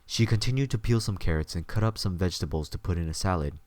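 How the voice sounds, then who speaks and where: A man's voice: low-pitched, animated, quick pace, a little mellow, no echo. A man reading scripted story narration as the narrator of an audio drama.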